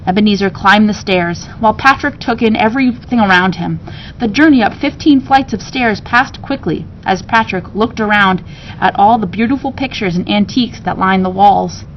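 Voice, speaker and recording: A woman narrates a children's book expressively, in a medium-pitched voice. She reads as a storyteller to young listeners. The recording is a little dull, with faint rumbling noise behind her. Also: normal speed. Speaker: story reader.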